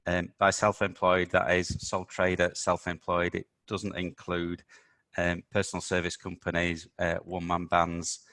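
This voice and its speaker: Croaky guy running a business webinar, deep monotone, lots of ums, dry sound. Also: normal speed, no echo. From United Kingdom. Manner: hesitant, many ums and uhs. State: slightly croaky voice. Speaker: webinar host, accountant.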